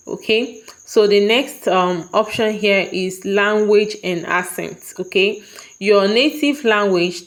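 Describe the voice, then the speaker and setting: A woman from Nigeria with a Nigerian accent walks viewers through an online sign-up, one step at a time. She speaks unhurriedly at a mid pitch, pausing often to check they are following. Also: rough recording. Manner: patient, repeats "okay?" to check in with viewers. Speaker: tutorial vlogger. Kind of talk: step-by-step screen walkthrough.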